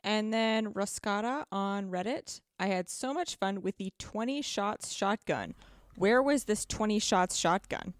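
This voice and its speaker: A woman's voice: mid-range voice, measured pace, dry recording, studio quality. A woman co-hosting a casual gaming podcast in an informal, conversational way. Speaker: podcast co-host.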